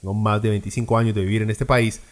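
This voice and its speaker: A man's voice: fairly low pitch, steady tone, quick pace. A man from Peru hosting a podcast, who delivers opinionated political commentary in a blunt, sarcastic way with frequent fillers. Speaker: podcast host.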